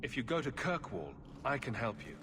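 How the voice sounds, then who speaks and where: An actor playing a steady, compassionate male party leader in a video game, considerate in manner. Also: medium pitch, normal speed, noisy background, close to an everyday voice. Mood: reassuring. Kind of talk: video game dialogue acting.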